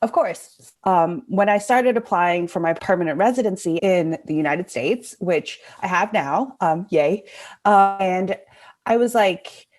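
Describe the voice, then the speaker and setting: A woman's voice: lowish voice, a little slow, darkish tone. A young woman from Hong Kong chatting casually and effusively as an interview guest, full of enthusiasm. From Hong Kong, also raised in Indonesia.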